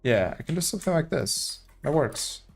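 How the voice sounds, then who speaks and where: A man's voice: a little high, very animated, moderate pace, gravelly, faint music behind. A young man from Portugal, casually thinking aloud as he live-streams a building game. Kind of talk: live gameplay commentary.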